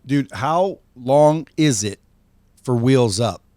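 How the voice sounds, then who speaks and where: A man's voice: mid-range voice, expressive, slow pace, warm tone, no echo, clean studio sound. A man hosting a casual conversation, eager and playful, calling his guest "dude". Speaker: host.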